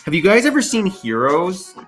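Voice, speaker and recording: A young man who grew up in Mexico, hosting a casual livestream chat in a rambling, easily excited way over a video call. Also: sits high, moderate pace. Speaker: livestream host. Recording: loud background music under voice.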